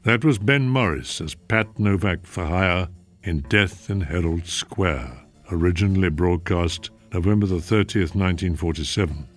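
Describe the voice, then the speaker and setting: Male show host, low and measured, scripted introductions over faint music. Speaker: host of classic radio show. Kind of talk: scripted presenting.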